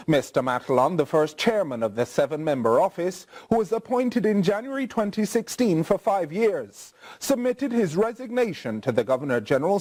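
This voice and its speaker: High-pitched, animated Jamaican male news anchor. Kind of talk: scripted news reading.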